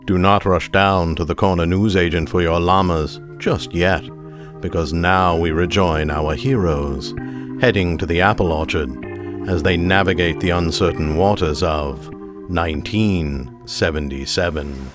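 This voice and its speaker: An actor playing a grandiose serial announcer, narrating a scripted adventure in an old-time style. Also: male voice, deep voice, fairly even intonation, measured pace, over music. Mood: grand, arch.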